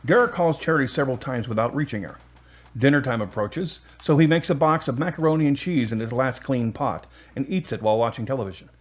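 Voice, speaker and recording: A man's voice: mid-range voice, lively intonation, fast talker. A male narrator reads scripted story passages for an audio drama. His voice sits in a middle register.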